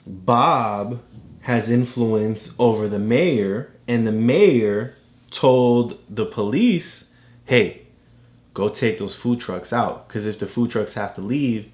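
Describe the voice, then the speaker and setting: A man tutoring a child, casually explaining a storybook in simple, halting steps. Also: medium pitch, a little mellow.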